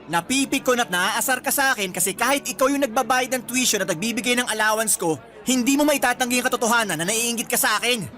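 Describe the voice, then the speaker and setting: A conceited young graduate who looks down on his older brother. The voice is very high for a man, Filipino-accented, cocky and taunting. Music runs underneath in classic radio drama fashion. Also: fast talker, sharp tone. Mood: taunting anger. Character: arrogant, mocking younger brother in his twenties, fresh graduate.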